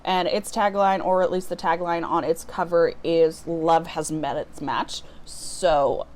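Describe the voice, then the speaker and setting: A woman's voice: on the low side, fairly even intonation, a little slow, a little mellow. A woman chatting casually to viewers as a book vlogger, talking in an informal, self-deprecating way.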